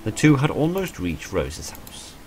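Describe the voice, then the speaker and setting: A man reading the storybook narration of a gentle visual novel. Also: mid-range voice, wildly swooping pitch, a little slow, music in the background, voice pushed up. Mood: gentle storytelling.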